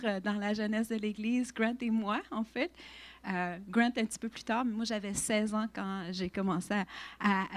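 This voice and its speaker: A woman in her fifties with a Québécois accent, introducing herself as a guest speaker at church, chatty with frequent fillers and restarts. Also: medium pitch. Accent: Québécois French. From Quebec. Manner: warm, chatty, laughs often, many restarts and fillers.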